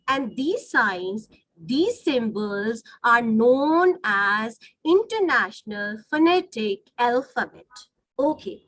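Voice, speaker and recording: A woman's voice: high voice, fairly bright tone, no echo, rough recording. A woman with an Indian accent, a native Hindi speaker, teaching an online lesson in a didactic way, rephrasing points and checking in with her listeners. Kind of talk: online lesson, explaining to students. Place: online video call.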